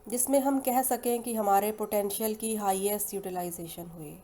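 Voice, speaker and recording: Mellow Indian woman guiding meditation. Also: mid-range voice, fairly even intonation, a little hiss or hum. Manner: soothing, unhurried, with long pauses between phrases. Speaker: guided meditation and hypnosis leader. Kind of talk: slow guided meditation narration. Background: soft meditation music.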